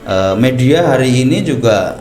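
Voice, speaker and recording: A middle-aged man from Banyumas, a media director speaking as a podcast guest in a relaxed, talkative way with frequent fillers. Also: mid-range voice, unhurried. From Purbalingga, Banyumas, Central Java. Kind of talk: casual podcast interview. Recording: echoey room with music underneath.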